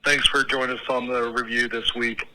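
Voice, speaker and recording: A man's voice: mid-range voice, level tone, moderate pace, bright, forceful tone. A man leaving a friendly, casual voicemail message as a caller to a show. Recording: noisy voicemail with clicks.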